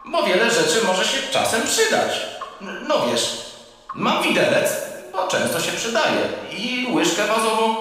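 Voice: medium pitch, very animated, measured pace, a bit bright, heavy reverb, in a large hall. An actor playing a timid, fussy little furry creature, nervous and earnest, in a children's audio drama.

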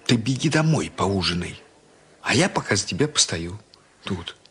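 A man playing a kind, concerned young man, narrating a children's story in an expressive, conversational way. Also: lowish voice, moderate pace, slightly rough, full character voice. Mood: thoughtful, concerned. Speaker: narrator and lead actor.

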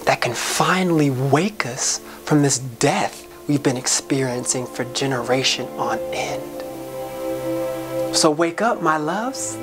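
A woman delivering an inspirational spoken-word soliloquy in an impassioned, preacher-like way, with folksy, colloquial phrasing. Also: deep voice.

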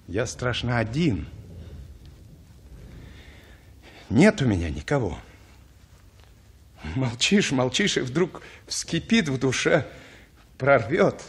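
An actor playing a lonely, gentle man in a Russian radio drama, musing and emotional in a halting way. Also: medium pitch. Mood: anguished, confessional. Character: lonely, gentle, wistful man befriending a boy.

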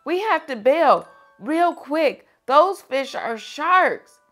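A woman's voice: high-pitched, animated, slow pace, a bit bright, soft background music, pitched above the performer's own voice, exaggerated voice. A woman voicing a nervous critter father from a children's storybook. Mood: nervous, urgent.

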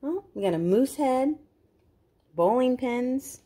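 A woman's voice: high voice, expressive, takes it slowly, warm tone, breathy edge. A woman reading a picture book aloud to young children, expressively, with simple explanatory asides. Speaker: children's read-aloud host.

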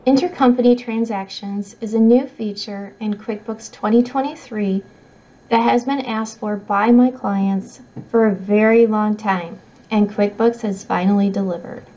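Slow, mellow woman narrating a tutorial. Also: mid-range voice, steady tone. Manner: measured, instructional. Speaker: software trainer. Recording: slightly dull, muffled audio.

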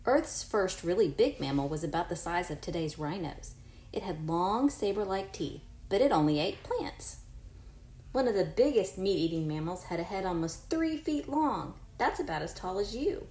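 A woman's voice: lowish voice, animated, moderate pace, a little mellow. A woman in her forties, a teacher reading a picture book aloud to young children in an encouraging way, with chatty asides. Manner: encouraging, addresses unseen children with questions. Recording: roomy echo, faint background noise.

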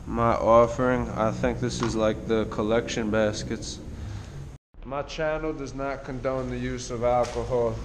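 A man giving casual, excited, slangy commentary while exploring an abandoned church on a head-mounted camera. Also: mid-range voice, a little slow. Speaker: urban explorer vlogger. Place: abandoned church, indoors and outdoors. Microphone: head-mounted action camera. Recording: long reverb in large empty hall, background noise.

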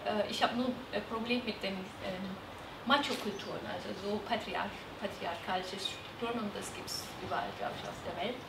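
A woman on a panel, chatty and relaxed, laughing between words. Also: medium pitch, moderate pace, gravelly. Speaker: panel speaker. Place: seminar room with a panel. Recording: distant mic in an echoey noisy room.

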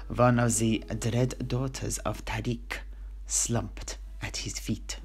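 A man narrating a fantasy history as a storyteller, with dramatic pauses and direct asides to the listener. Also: on the low side.

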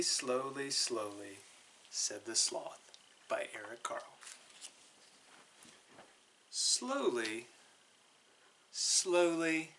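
Very slow, animated male storyteller. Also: mid-range voice. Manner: deliberate, drawn-out, expressive delivery. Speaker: storytime guest reader. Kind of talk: animated children's picture book read-aloud. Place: living room by a brick fireplace.

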